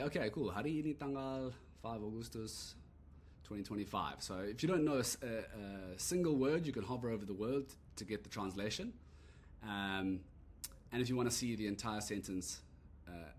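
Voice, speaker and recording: A man giving a relaxed, rambling walkthrough of an app he built, full of "uh" and "so yeah" fillers. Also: on the low side, a little hiss or hum. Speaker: app creator demoing his product. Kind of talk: casual screen-recorded product demo. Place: at a computer recording a screen demo.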